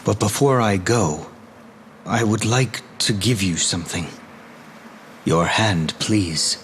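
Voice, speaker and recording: An actor playing a gentle, reserved young knight, speaking formally and sincerely in a video game drama. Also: male voice, fairly low pitch, takes it slowly, a little hiss or hum. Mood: tender.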